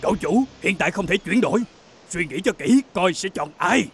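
A man's voice: high-pitched, lively intonation, fairly quick, faint music behind. A man voicing an earnest dinosaur robot companion who guides and explains in a dubbed cartoon. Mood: urgent advising. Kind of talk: Vietnamese cartoon dub.

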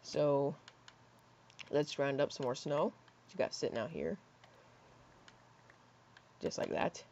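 A hoarse young man in his teens rambling casually while playing a building game, with lots of fillers and soft mutters. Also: fairly high pitch, very animated, slow, a little hiss or hum. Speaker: gaming YouTuber. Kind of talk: casual gameplay commentary. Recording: slightly dull lo-fi mic.

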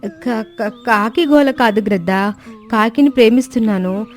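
A woman's voice: a little high, unhurried, lightly acted. A woman voicing a timid but stubborn lovesick pigeon, stammering and complaining in a children's cartoon story. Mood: frightened, defensive. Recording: music bed under the voice.

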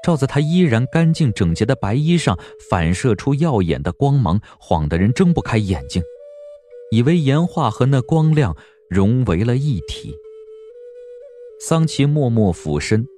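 A man narrating a period audio drama in a measured, literary storytelling style. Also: fairly low pitch, dark tone.

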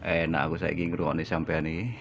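A man with a Javanese accent chatting casually over a two-way radio, relaxed and signing off each turn. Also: a bass voice, a little fast, gravelly. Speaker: radio amateur operator. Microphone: desk condenser mic. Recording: lo-fi room with loud hiss.

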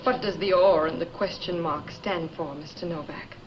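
A woman's voice: lowish voice, normal speed, noisy background, lightly acted. An actress playing a poised young woman who questions a blackmailer warily. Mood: guarded. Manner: guarded, probing questions. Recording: dull old film audio with music behind.

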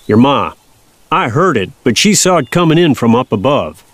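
A folksy older farmer played by a male actor reminisces as a patient, wry father in an audio drama. His talk is plain and homespun, recorded close and dry at a middling pitch. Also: sing-song, moderate pace, no echo, natural voice.

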